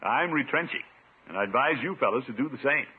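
An actor playing a pompous, blustering middle-aged uncle who chuckles and preaches in a 1950s radio sitcom. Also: male voice, mid-range voice, animated, quick pace, husky. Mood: preachy. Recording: muffled 1950s radio broadcast.

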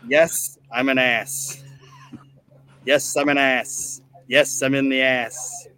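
Guy hosting a comedy podcast, kinda deadpan, bright voice, takes his time. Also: mid-range voice, slow pace, smooth. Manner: deadpan, monotone when reading. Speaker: podcast host. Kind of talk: casual comedic podcast chat with mock recitation.

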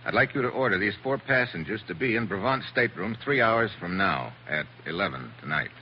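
A man acts out a tough, wry American special agent in a 1940s radio play. His voice is low, with animated swings in pitch and terse phrasing. The old recording is muffled and faintly hissy. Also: brisk, faint music behind, lightly acted. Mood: probing. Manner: hard-boiled, terse, wry. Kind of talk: 1940s radio drama acting.

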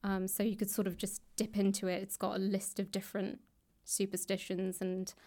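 A woman's voice: mid-range voice, monotone, normal speed, mellow. A British woman talking casually as an interview guest. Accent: British English. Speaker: guest, author and actor. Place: indoors in a public library room.

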